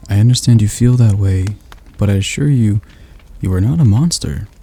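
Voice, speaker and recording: A gentle male demon tutor, voiced by a man in an ASMR roleplay, his tone dark and mellow. He talks softly and slowly, reassuring throughout, with a slight stutter. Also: fairly low pitch, creaky, slightly rough, heavily acted. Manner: soft, slow, soothing delivery.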